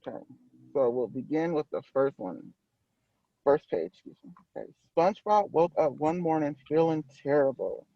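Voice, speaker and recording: A man's voice: fairly high pitch, animated, normal speed, vocal fry, no echo, lo-fi sound. A man hosting a casual story time, reading aloud and putting questions to his audience from inside a car.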